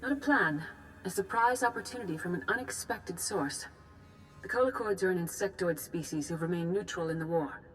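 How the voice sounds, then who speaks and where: A grave and composed Jedi master briefing on a war, played by a woman in a low voice. She speaks formally and with measured solemnity, as in a scripted space-fantasy game, with faint music underneath. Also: lightly acted, rough recording. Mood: grave, urgent. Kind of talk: scripted video game dialogue.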